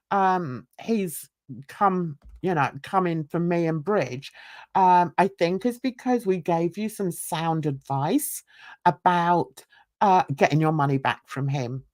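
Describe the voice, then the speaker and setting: A woman's voice: medium pitch, dry recording, clean studio sound. An older woman, ranting bluntly and indignantly while reading a livestream chat and talking to viewers. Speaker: YouTube commentator.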